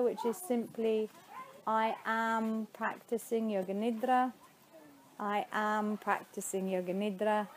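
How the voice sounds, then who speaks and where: A woman teaching yoga nidra, guiding a meditation in a soothing, unhurried way with spacious pauses between phrases. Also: on the high side, a little mellow, a little hiss or hum, rough recording. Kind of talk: guided meditation.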